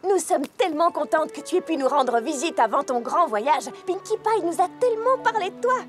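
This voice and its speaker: Casting: courteous young cartoon mare, high and very animated, theatrical, music underneath. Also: female voice, normal speed. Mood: eager, polite. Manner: polite, expressive, theatrical. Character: polite, eager, expressive young female cartoon ponies. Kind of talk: French-language cartoon dub.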